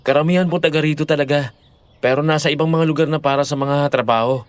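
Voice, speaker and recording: Attentive young boyfriend played by a man, slightly high voice, casual, reassuring, dry sound. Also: fairly even intonation, fast talker, smooth, no echo, close to an everyday voice. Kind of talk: dramatised audio horror story acting.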